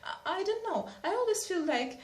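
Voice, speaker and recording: A soft-spoken, good-natured young nobleman, voiced by a woman reader, hesitant and unhurried. Her voice stays high and sounds roomy and echoing. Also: animated. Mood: gentle. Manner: mild, hesitant, slow. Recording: roomy echo.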